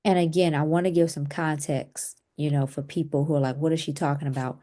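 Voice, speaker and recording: A woman's voice: sits low, steady tone, a little fast, warm tone. A woman hosting a commentary show, explaining celebrity drama in a conversational way with asides. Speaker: host.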